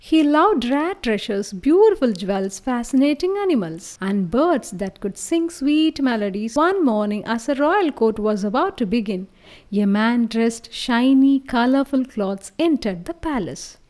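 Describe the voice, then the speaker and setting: High-pitched, animated woman telling children's stories. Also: a little slow. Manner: expressive, addresses listeners as friends. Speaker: storyteller. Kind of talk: scripted children's story narration.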